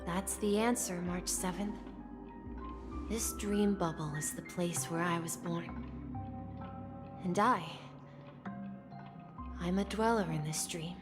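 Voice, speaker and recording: Young game boy, gentle, wistful, unhurried pace, earnest storytelling, long hall reverb. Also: on the low side, over music. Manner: measured, reflective, earnest storytelling. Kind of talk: video game voice acting.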